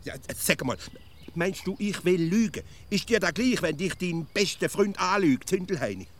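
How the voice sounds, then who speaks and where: A cunning, doubting Swiss man from the provinces in a radio comedy. His Swiss German-accented voice sits rather high and moves animatedly, as he doggedly contradicts and stumbles into repetitions. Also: expressive, normal speed, slight background noise. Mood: exasperated. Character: sceptical, literal-minded provincial Swiss male friend, cunning fool. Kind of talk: comic radio dialogue.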